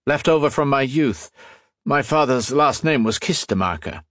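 A man's voice: medium pitch, expressive, normal speed, fairly bright tone, no echo, studio quality, pitched above the performer's own voice, close to an everyday voice. A man voicing a scheming male assassin who poses as a genial, folksy American in an audiobook story. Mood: hearty. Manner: falsely hearty, folksy.

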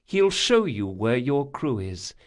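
A man voicing a composed woman envoy in an audiobook, coolly amused, formal and measured. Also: mid-range voice, big pitch swings. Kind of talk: audiobook character acting.

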